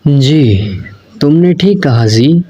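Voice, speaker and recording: A man's voice: mid-range voice, expressive, drawn-out words, dark tone. A man playing a practical, loyal companion to a vampire king in an audio roleplay, on a dull, slightly noisy recording. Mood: deferential. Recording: dull sound with faint noise.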